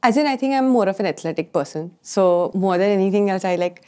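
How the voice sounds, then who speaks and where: A young woman chef and cafe owner answering interview questions in a relaxed, thoughtful way. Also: mid-range voice, fast, clean tone. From India.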